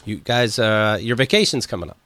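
A man hosts a loose, joking chat and teases the people around him. His pitch swings widely from line to line. The recording is dry and close. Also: expressive, fairly quick, fairly bright tone, no room sound. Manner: joking, teasing. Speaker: host. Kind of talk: casual chat.

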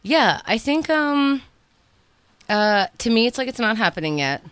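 A woman's voice: fairly high pitch, unhurried, clear, resonant tone, no echo. A young woman from Israel with a New York accent, chatting casually on a talk show and laughing easily. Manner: excitable, laughs loudly. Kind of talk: casual chat.

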